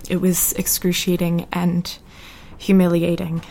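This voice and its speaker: A woman's voice: on the low side, completely flat delivery, unhurried, vocal fry, mellow. A woman playing an anguished, defiant teenage girl in love, reading her diary in a dramatic monologue. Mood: bitter, pained.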